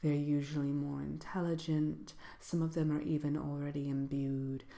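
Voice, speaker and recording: A woman playing a genteel sorceress presenting a seminar in a soft-spoken ASMR roleplay, speaking in a hesitant, gently formal, measured way. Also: sits low, level tone, creaky. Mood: calm, instructive. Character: genteel female fairytale sorceress lecturing on magical companion animals.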